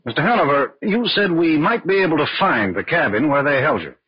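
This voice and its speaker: An actor playing a businesslike FBI agent who gives orders and asks attentive questions in a 1940s radio crime drama. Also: male voice, on the high side, expressive, fairly quick, noisy background, close to an everyday voice. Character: brisk, businesslike male FBI agent, in charge, encouraging. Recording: dull, lo-fi old radio recording.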